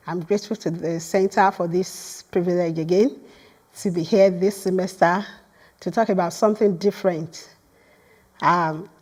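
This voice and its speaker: A woman's voice: fairly low pitch, mellow. A woman whose first language is Yoruba, giving an academic lecture as a guest speaker in a lecture hall, earnest and apt to repeat words as she starts a phrase.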